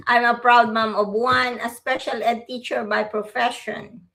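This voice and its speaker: A woman from the Philippines co-hosting a live stream, speaking with many pauses and fillers. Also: on the high side, bright, forceful tone, poor audio. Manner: hesitant, many fillers and restarts. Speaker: co-host. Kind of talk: casual live-stream chat.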